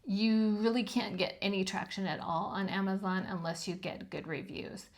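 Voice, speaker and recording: A woman over fifty with a high-pitched voice and a gravelly fry at the ends of phrases. She talks in a frank, chatty way as she teaches, dropping ums along the way. Her pitch sits in the middle range for a woman. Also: normal speed. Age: 50s or older. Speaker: channel host teaching self-publishing. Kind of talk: casual instructional talk to camera.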